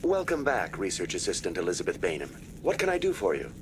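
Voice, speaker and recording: A man voicing a polite, detached virtual assistant in a video game, speaking in a formal, measured way. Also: deep voice, huge pitch swings, a little hiss or hum, heavily acted. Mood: impassive, formal. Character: polite, impassive male virtual assistant, unfailingly procedural.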